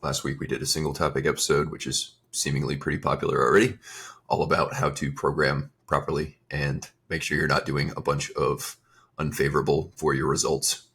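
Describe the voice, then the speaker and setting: A young adult man hosting his own fitness podcast, talking in a relaxed, rambling way with a slightly raspy, strained voice. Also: very deep voice. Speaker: podcast host and fitness coach. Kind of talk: casual solo podcast talk.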